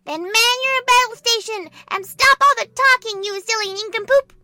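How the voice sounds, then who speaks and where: Very high, bossy little girl general. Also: very animated, normal speed, pushed and bright, full character voice. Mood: bossy, barking. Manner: earnest, pompous, childlike narration with barked orders. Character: bossy self-important little girl playing army general. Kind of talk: first-person story narration. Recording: music behind the voice.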